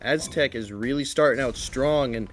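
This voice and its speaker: A man giving live esports commentary and analysis as a shoutcaster. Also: mid-range voice, moderate pace, slight background noise.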